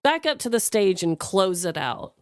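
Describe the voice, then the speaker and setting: A woman's voice: medium pitch, very animated, moderate pace, smooth, no room sound, studio quality. A woman co-hosting a podcast, telling stories in casual, candid chat and swearing freely. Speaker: podcast co-host.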